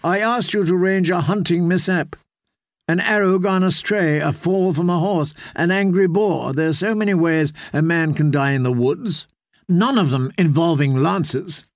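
A man's voice: high voice, moderate pace, dry recording, studio quality, pitched above the performer's own voice. A man voicing a proud queen who gives orders in a cutting, commanding way. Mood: imperious. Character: imperious, scornful queen.

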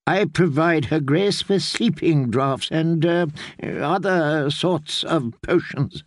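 A man's voice: a little high, slow pace. A man voicing a frightened elderly male court healer who stammers and pleads in an audiobook. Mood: fearful. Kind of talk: audiobook character voice.

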